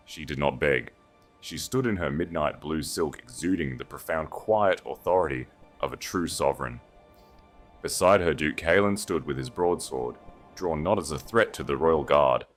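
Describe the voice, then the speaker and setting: Male audiobook narrator, bass register, dramatic fantasy storytelling over soft music. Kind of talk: dramatic scripted narration of a romantic fantasy tale.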